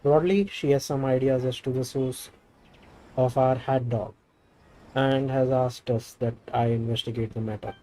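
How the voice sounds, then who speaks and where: Mellow man reading game dialogue. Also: mid-range voice, steady tone, a little slow, low-quality recording. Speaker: game streamer. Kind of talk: reading game dialogue aloud while playing.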